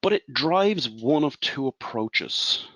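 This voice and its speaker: An Irish man in his forties presents a webinar as a consultant, explaining in a measured way and asking rhetorical questions. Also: mid-range voice, animated, fairly bright tone, no room sound. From Ireland.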